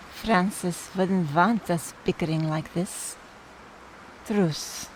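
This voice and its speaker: An earnest, easily exasperated woman at a wake in a radio drama. Her voice is fairly low and she speaks very slowly, with apologetic hesitation. A faint rumble runs behind her. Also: rough recording. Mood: subdued, reflective. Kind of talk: radio play dialogue.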